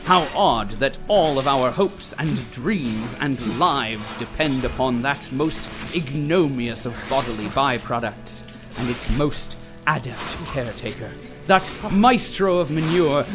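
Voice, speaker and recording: Music runs behind a grandiose narrator of heroic deeds. His mid-pitched voice is solemn and declamatory, savoring florid phrases like an epic radio chronicle. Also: expressive, slow. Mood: epic, mock-solemn. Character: grandiose, heroic male chronicler of city history. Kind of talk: scripted dramatic narration.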